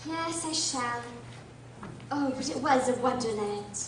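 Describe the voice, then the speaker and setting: A woman's voice: high-pitched, a little slow. A woman playing a bold, argumentative, curious young girl in a radio drama, emphatic and theatrical. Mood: dreamy. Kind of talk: stage-like radio drama acting. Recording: echoey room from far off, noisy.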